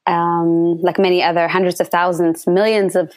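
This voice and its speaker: A woman in her late twenties, born in Somalia and raised in Canada, speaking calmly and reflectively as a guest on a remote call. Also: on the low side, normal speed, no room sound. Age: late 20s. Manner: reflective, calm, occasional ums. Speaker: guest, activist. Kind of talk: casual interview.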